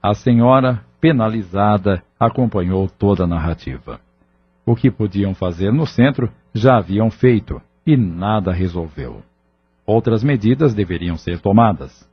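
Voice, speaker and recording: Low, husky Brazilian male narrator. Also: lively intonation, unhurried. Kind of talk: scripted audio drama narration. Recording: dull lo-fi audio drama recording.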